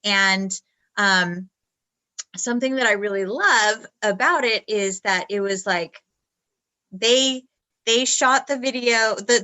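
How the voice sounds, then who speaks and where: A woman with a bright, forceful tone co-hosts a live stream. She talks casually but haltingly, stopping and restarting her sentences as she goes. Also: a little high. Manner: halting, frequent restarts and fillers. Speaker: co-host of a dance talk stream.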